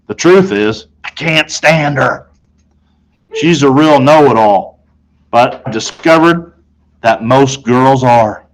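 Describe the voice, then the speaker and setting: A man reading a children's novel aloud in an expressive, conversational way, telling it as a boy in the first person. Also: medium pitch, rough recording. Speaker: storybook reader.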